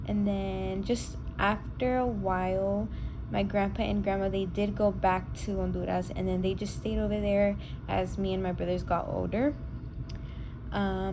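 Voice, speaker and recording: Young woman presenting with halting delivery. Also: medium pitch. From United States. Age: late teens. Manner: halting, unscripted, drops in Spanish family words. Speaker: student presenting a project. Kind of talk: casual spoken presentation. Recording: clear background noise.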